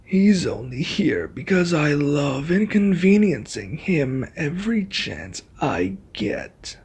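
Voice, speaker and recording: A man voicing an arrogant, menacing reluctant ally in an ASMR roleplay, with a husky, taunting, threatening delivery. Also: a little high, very animated, slow, darkish tone, full character voice. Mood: mocking.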